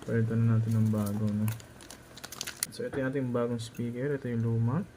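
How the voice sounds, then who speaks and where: A man's voice: mid-range voice, fairly even intonation, a little fast, mellow, a little hiss or hum. A man with a Filipino accent narrating a hands-on repair tutorial as a technician, informal and instructive, often checking in with short tag questions.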